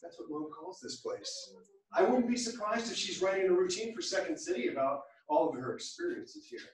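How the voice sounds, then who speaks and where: A man's voice: sits high, huge pitch swings, brisk. A man acting a chatty, teasing grown son in a staged theatre reading. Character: chatty, teasing grown son charming his mother's friends. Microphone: distant camera. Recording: echoey theatre, recorded from far off.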